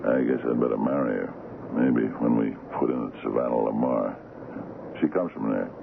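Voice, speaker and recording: An actor playing an easygoing, gruff sea captain of forty in a radio drama, folksy and prone to repeating himself. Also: male voice, a bass voice, normal speed, full character voice. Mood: confiding, insistent. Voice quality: gravelly. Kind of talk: 1970s radio drama acting. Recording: noisy, narrow-band old radio broadcast.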